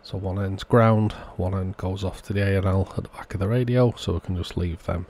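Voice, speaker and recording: Low-voiced man, mellow and slightly husky. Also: steady tone, fairly quick. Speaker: host of a radio repair channel. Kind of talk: hands-on repair walkthrough narration.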